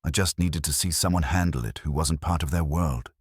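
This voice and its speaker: A man voicing a nervous, earnest young woman who confesses her part in a scheme, his voice pitched up and hesitant. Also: a little fast, breathy edge, dry recording, clean studio sound.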